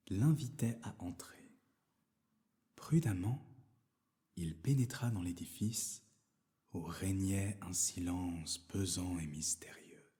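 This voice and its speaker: A man narrating a fairy tale for children as a storyteller, in a theatrical, expressive manner. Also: on the low side.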